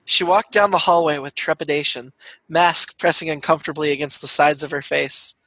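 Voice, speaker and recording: A man with a fairly high, very bright voice reads a comic piece of fiction from a script. The sound is dry and close, with little room around the words. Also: steady tone, normal speed, no room sound, low-quality recording. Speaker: comedy troupe writer reading own story. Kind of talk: reading a scripted comic erotic story aloud.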